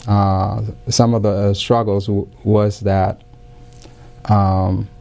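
A middle-aged man, not a native English speaker, giving careful and hesitant interview answers with many pauses and restarts. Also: lowish voice, little pitch movement, gravelly, mellow. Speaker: interview guest.

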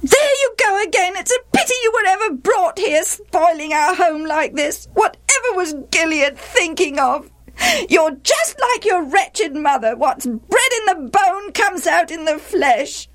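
A shrill stepmother from a told story, overwrought and bitter. She nags and wails with very high, swooping pitch, leaning hard on her words as she scolds. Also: lively intonation, a bit bright, exaggerated voice. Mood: bitter nagging. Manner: scolding, wailing, drawn-out emphatic words.

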